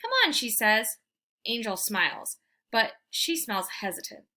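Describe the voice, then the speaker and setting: A woman reading a children's book aloud as an expressive storyteller. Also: mid-range voice, unhurried.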